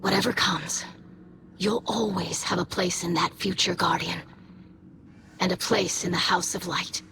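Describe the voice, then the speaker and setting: An actress playing an earnest young female alien scribe in a game, gentle and sincere. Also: on the low side, fairly even intonation, a little slow, noisy background. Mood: hopeful. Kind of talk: heartfelt game monologue. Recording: loud music under the voice. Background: music.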